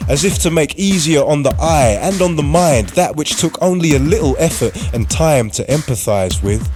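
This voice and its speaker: A man giving deliberate, dramatic scripted narration for a radio play. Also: on the high side, animated, darkish tone. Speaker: writer-performer, narrator. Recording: music under the voice. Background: music.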